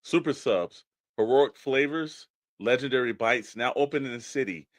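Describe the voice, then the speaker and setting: A man playing a commercial announcer, reading a scripted radio ad in a deliberate, promotional manner. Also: mid-range voice, dry recording, studio quality, natural voice. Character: male commercial announcer pitching heroic fast food.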